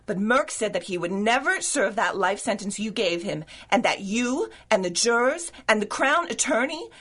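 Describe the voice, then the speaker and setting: A woman's voice: medium pitch, animated, normal speed, a bit bright, husky. A woman playing a feisty, devoted secretary in a 1930s-style radio drama, earnest and theatrical in delivery. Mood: worried scolding.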